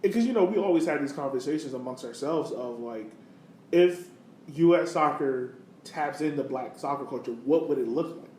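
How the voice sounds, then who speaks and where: A man with a fairly high-pitched voice talks casually as a podcast host. His delivery is conversational and peppered with "you know." The recording is roomy and echoey, with some noise behind him. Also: moderate pace, a little mellow. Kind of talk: casual soccer podcast discussion. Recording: roomy echo, clear background noise.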